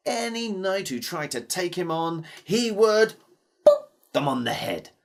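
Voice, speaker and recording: A storyteller telling a tale to children in a theatrical manner, with dramatic pauses and questions to the listeners. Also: medium pitch, big pitch swings, creaky.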